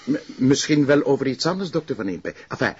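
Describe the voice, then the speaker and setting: An actor playing a polite, gently teasing police detective sidekick with a Flemish accent in a crime drama. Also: male voice, mid-range voice, expressive, a little fast, husky, no room sound. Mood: polite, probing. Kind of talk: television crime drama dialogue. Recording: dull sound with background noise.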